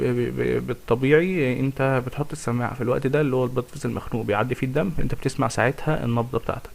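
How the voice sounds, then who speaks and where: A young Egyptian man rattles through a medical revision lesson at a breakneck pace. His voice sits at a middle pitch, and he keeps breaking off with fillers and restarts. He quizzes his listeners as he goes. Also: gravelly. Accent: Egyptian Arabic. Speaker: medical tutor. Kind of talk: fast exam revision lecture.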